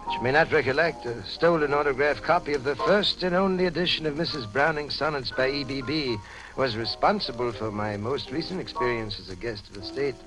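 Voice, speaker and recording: An actor playing a bitter, genteel ex-convict swindler in 1950s radio crime drama, speaking in precise wordy phrases mixed with underworld slang. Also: male voice, mid-range voice, a little fast, natural voice, poor audio. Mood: wry, guarded. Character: shifty genteel male ex-convict book swindler, bitter, secretive. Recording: old 1950s radio recording, music behind.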